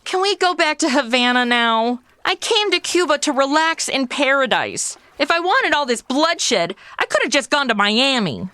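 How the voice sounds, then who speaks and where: A woman's voice: sits high, very animated, moderate pace, hardly any pauses, pushed and bright, no echo, full character voice. An actress playing a flippant, squeamish, vain young woman tourist in a comedy audio drama, bubbly and sarcastic. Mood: whiny, alarmed.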